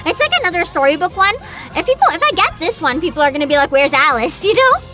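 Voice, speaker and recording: A woman hosting a casual livestream chat, giggly, excitable and expressive, with music behind her. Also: extremely high pitch, quick pace. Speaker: livestream host, VTuber.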